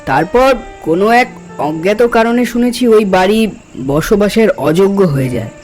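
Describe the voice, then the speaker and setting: A man's voice: high voice, lively intonation, normal speed, darkish tone. An actor playing a hospitable, insistent village man in a horror cartoon, talking in a chatty, coaxing, folksy way. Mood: sombre explaining. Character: hospitable, insistent village man hosting his junior colleague. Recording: music behind the voice. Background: background music.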